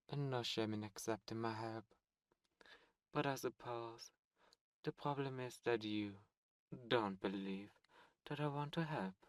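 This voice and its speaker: A man's voice: mid-range voice, no room sound, lightly acted. A man voicing a suave, cold male demon prince in an ASMR roleplay, speaking in a silky, condescending and menacing way. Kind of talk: ASMR roleplay audio drama.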